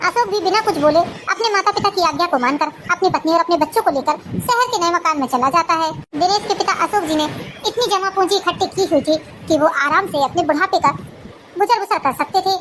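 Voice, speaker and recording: A woman's voice: very high-pitched, a little fast, background noise. A woman storyteller narrating a cartoon family drama.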